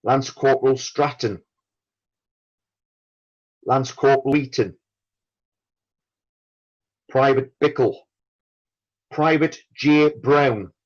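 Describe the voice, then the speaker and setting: A man reads out a memorial roll call at an extremely slow, measured pace. His delivery is formal and solemn, with a pause after each entry. His pitch is average for a man, and the recording sounds slightly dull and lo-fi. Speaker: veterans' branch chairman reading a roll call.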